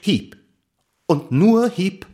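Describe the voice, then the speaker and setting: An actor playing a pompous, indignant gentleman in a German radio drama, declaiming in a grandiose, emphatic manner. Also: sits high, lively intonation, very slow, heavily acted. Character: pompous, theatrical gentleman reading out an indignant denunciation.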